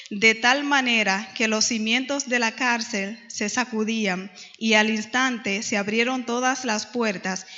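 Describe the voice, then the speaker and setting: Bright-voiced Dominican woman teaching in church. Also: a little high. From Dominican Republic. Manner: storytelling with many hesitations. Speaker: Bible class teacher. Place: church hall. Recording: dull lo-fi church recording with long reverb.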